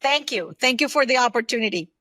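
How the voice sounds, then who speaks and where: A woman in her fifties speaks as an interview guest. Her voice is fairly high and has a very bright, sharp edge. She talks conversationally, piecing her thoughts together in short bursts. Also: lively intonation, quick pace. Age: 50s. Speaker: podcast guest, solopreneur and former aerospace executive.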